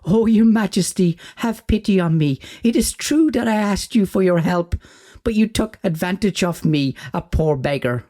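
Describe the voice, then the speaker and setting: A man's voice: high voice, animated, normal speed, mellow, voice pushed up. A man voicing a poor, panicky tailor in an audio folk tale, whining and pleading frantically. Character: panicky, pleading, down-on-his-luck male tailor.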